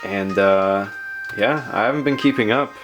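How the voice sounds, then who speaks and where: A man's voice: fairly low pitch, a little slow, lo-fi sound. A man hosting a casual tech and gaming vlog, talking informally with slangy, self-deprecating commentary. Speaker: video host. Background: background music.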